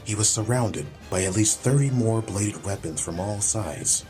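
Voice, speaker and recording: A man's voice: on the low side, a little slow, a little mellow, soft background music. A man reading a scripted, dramatic story as an audiobook narrator.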